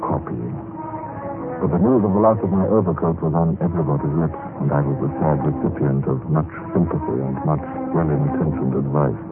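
Very deep-voiced clerk narrator. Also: male voice. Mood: rueful. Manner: measured, formal storytelling. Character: meek, sorrowful male clerk recounting his own misfortune. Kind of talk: scripted first-person radio drama narration. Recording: narrow-band old radio recording, music behind.

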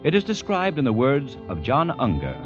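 A male announcer introduces a vintage radio drama in theatrical style. His pitch swings widely over loud music. The sound is muffled, like a 1940s broadcast. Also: wildly swooping pitch, normal speed. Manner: grand, theatrical. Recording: dull old radio recording, loud music.

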